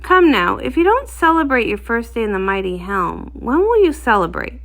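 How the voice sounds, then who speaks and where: A woman's voice: on the high side, big pitch swings, normal speed, gravelly, fairly bright tone, exaggerated voice. Lady doing a friendly pony guard, hearty and coaxing, swoopy storybook voice. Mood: jovial, coaxing.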